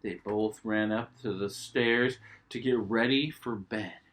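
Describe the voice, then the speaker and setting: A man's voice: fairly low pitch, steady tone, takes it slowly, fairly bright tone. A man reading a children's storybook aloud expressively, with simple asides for young listeners.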